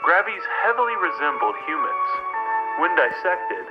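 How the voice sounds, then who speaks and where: A man's voice: on the high side, big pitch swings, moderate pace, lo-fi sound. A man reading scripted mock research documentary narration for an analog horror piece in a formal, clinical manner. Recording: music behind the narration. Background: loud music.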